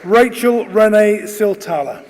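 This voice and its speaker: A man reads out names formally as a ceremony announcer. His delivery is very slow and carefully enunciated, with an animated rise and fall. It rings through a big echoing hall over a noisy crowd. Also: high voice. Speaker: announcer reading out graduates' names. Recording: reverberant hall, loud crowd noise behind. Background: loud crowd noise.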